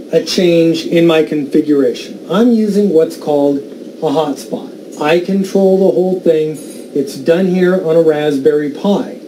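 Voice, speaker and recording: Slow, mellow American man. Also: fairly high pitch, poor audio. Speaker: amateur radio YouTuber. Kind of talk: casual hands-on demonstration. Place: indoors at a desk. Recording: faint background noise.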